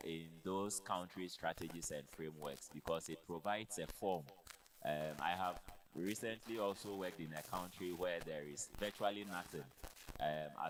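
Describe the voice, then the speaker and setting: A man with a Ghanaian accent giving measured, formal remarks as a panelist, pausing often as he speaks. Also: low voice, rough recording. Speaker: conference panelist, health quality expert.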